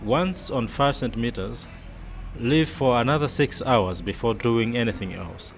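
Measured male instructional narrator, faint noise. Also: mid-range voice. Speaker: medical training film narrator.

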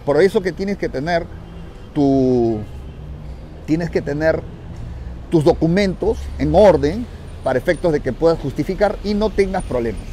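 A man with a Peruvian accent and a fairly high, mellow voice talks to viewers like an investment coach. He is emphatic and instructive, often repeating a phrase to drive it home. He is recorded on a handheld phone out on a city street, with some street noise around him. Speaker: real estate investment coach. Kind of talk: vlog talk to viewers, instructive.

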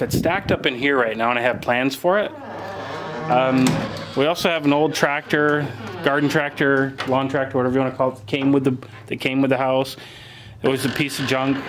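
A man in his forties talks his way through a casual homestead tour, plain-spoken and unhurried, with ums as he thinks. His voice sits in a middle pitch. It is recorded on a handheld camera outdoors and in sheds, with clear background noise on the audio. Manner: relaxed, plain-spoken, thinks aloud with fillers. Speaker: homesteader giving a property tour. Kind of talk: casual walking tour vlog. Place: outdoors and in farm buildings. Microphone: handheld camera mic, filming himself.

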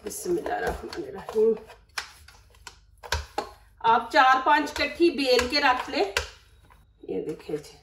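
A woman's voice: a little high, sing-song, takes it slowly, pauses often, roomy sound, distant mic, background noise. A woman with a Pakistani Urdu accent hosting a cooking demonstration at home, chatty and instructive, often saying religious phrases. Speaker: cooking channel host. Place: home kitchen.

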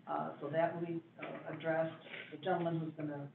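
A man giving a building report at a township board meeting, halting with many fillers and restarts. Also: a little high, little pitch movement, gravelly, background noise. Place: meeting room. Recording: echoey room, far mic, phone-like band.

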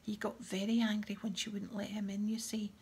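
A woman's voice: mid-range voice, little pitch movement, brisk, mellow, close to an everyday voice. A woman voicing a gleeful, mischievous male poltergeist with a crackling, oily, mock-polite voice. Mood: sly.

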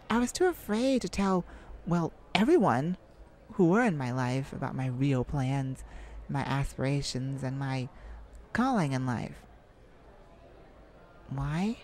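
A woman voicing a bashful, flirtatious male lounge singer in a soft, hesitant, intimate ASMR roleplay. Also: a bass voice, expressive, slow, long pauses, full character voice. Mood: wounded, confiding.

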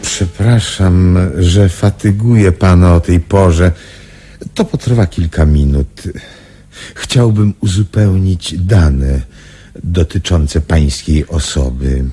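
An actor playing a courteous, hesitant doctor in a radio drama, polite and halting. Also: male voice, deep voice, dark tone, breathy edge, heavily acted. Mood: apologetic, halting.

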